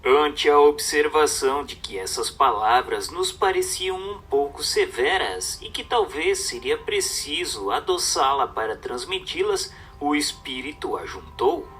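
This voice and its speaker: Animated, bright-voiced male narrator. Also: on the high side, measured pace, few pauses, faint music behind. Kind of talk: dramatic scripted narration of a religious book.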